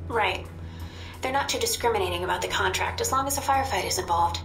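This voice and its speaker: Composed intelligence handler, roomy sound. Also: female voice, medium pitch, gravelly, fairly bright tone. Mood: businesslike. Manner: measured, matter-of-fact, dryly teasing. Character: composed, wry female intelligence handler briefing an agent. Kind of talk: video game voice acting. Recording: roomy sound with music behind.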